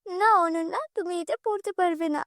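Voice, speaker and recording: A humble young cartoon heroine, played by a woman, with a very high voice and a dry, close sound. She speaks earnestly and pleadingly, like a good-hearted girl who is put upon. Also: normal speed, fairly bright tone, no echo. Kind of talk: children's fairy-tale cartoon dub.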